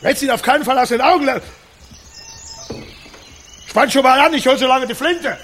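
Irritable, high-pitched rural lawman. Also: moderate pace, a bit bright, slight background noise. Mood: furious. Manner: agitated, barking orders and curses. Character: gruff, cursing, irritable rural justice of the peace. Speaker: actor in a radio drama. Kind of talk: radio drama acting.

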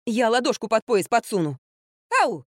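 Woman doing a spiteful bossy girl in her twenties or so from a comic audiobook, high, snappy, whiny, really animated. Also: moderate pace, dry recording, clean studio sound. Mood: whining. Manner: snappish, whining. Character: bossy, spiteful young actress, squealing and complaining.

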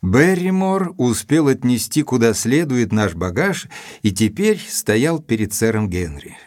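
A man's voice: mid-range voice, big pitch swings, a little slow, a little mellow, no echo, studio quality. A man narrating an audio drama in the first person, reading scripted literary prose. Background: faint music.

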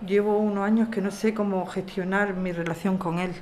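A woman's voice: mid-range voice, steady tone, moderate pace, darkish tone, a little hiss or hum, natural voice. A woman acting an earnest, apologetic lawyer in an audio drama. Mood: troubled. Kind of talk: audio fiction podcast acting.

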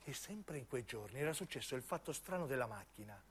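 A man narrates as a stage actor, in intense, deliberate phrases of dramatic radio storytelling. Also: mid-range voice, lively intonation, a little hiss or hum. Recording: piano music under the voice. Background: piano and keyboard music.

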